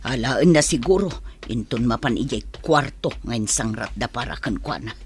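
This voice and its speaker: A man's voice: medium pitch, lively intonation, normal speed, slight background noise, lightly acted. A man voicing a nosy, grumbling female household helper in an amateur audio drama, hesitant and questioning, with muttered asides. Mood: grumbling, scheming.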